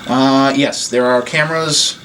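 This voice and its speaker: A man's voice: mid-range voice, fairly even intonation, a little slow, bright, forceful tone. Man explaining equipment over room noise. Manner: plain-spoken, explanatory, step-by-step. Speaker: technician giving staff training. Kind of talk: informal hands-on equipment demonstration. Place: indoors, at an equipment station. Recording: noisy room, clear background noise.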